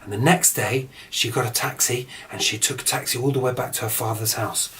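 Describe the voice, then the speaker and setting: A man's voice: mid-range voice, fairly even intonation, brisk, a bit bright. A man telling a children's fairy tale informally, with chatty, wandering asides. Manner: chatty, digressive, mock-casual asides. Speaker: storyteller. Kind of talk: informal improvised children's storytelling.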